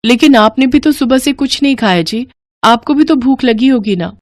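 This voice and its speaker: A woman voicing a caring, humble village wife and mother, gentle and pleading, in a cartoon story. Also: high voice, expressive, normal speed, dry recording, studio quality, voice pushed up. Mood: worried. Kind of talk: animated moral story dialogue.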